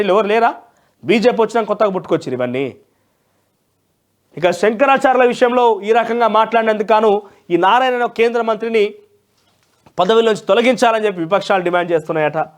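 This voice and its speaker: A man with a Telangana Telugu accent presenting a newspaper review with opinionated commentary in a conversational, emphatic way. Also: very high voice. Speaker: news presenter.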